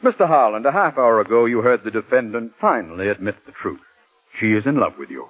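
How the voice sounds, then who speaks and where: Husky, relentless 1940s radio prosecutor. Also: male voice, fairly low pitch, big pitch swings, fast. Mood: stern, insistent. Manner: forceful, accusatory, formal. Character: relentless, bitter male district attorney cross-examining in court. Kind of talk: 1940s radio drama acting. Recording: muffled 1940s radio broadcast recording.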